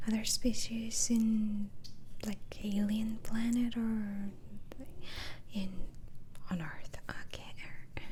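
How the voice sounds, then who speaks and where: A woman whispering softly and breathily in an ASMR roleplay as a gentle, reassuring doctor, asking questions in a hesitant way. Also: mid-range voice, slight background noise. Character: calm, reassuring asylum doctor questioning a patient.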